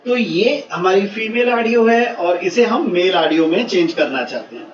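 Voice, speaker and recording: A man giving step-by-step software instructions, mixing in English technical words. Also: high-pitched, animated, normal speed, slight background noise. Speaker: software tutorial presenter. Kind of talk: step-by-step screen tutorial. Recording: muffled, roomy, distant mic, faint music.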